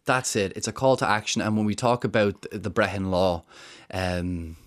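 A man's voice: fairly low pitch, steady tone, moderate pace. An Irish man explaining his subject earnestly in an interview, with frequent fillers and restarts. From Ireland. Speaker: guest, woodland charity founder. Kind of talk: interview conversation.

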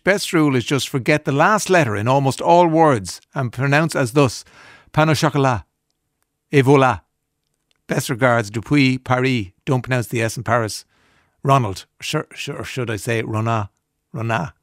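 A man's voice: mid-range voice, no room sound, clean studio sound. An Irish man hosting a radio show, chatting easily and reading out listener messages. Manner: easygoing, chatty, reads messages aloud. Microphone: studio microphone.

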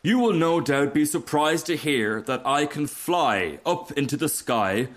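A vain, pompous firework rocket from a storybook speaks with lofty self-importance, as a man puts him on. His voice rides higher and a bit louder than plain narration, every phrase dripping with conceit. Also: expressive, a little slow. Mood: haughty.

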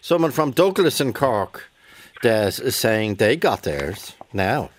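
An Irish man hosting a radio phone-in, chatty and quick with questions for callers, with jokey asides. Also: medium pitch, big pitch swings, a little hiss or hum. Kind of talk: call-in radio chat.

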